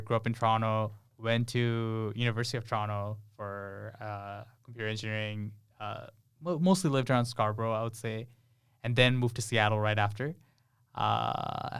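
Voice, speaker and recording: Smooth-voiced young man, casual guest. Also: medium pitch. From Bangladesh. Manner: laughs often, explains with many fillers. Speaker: podcast guest, software engineer. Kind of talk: casual podcast chat.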